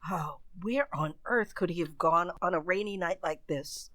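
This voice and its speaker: A woman's voice: on the low side, moderate pace, a bit bright, no room sound, lightly acted. A woman playing an impatient, suspicious well-to-do wife who questions sharply in a radio mystery. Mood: worried. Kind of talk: old radio mystery acting.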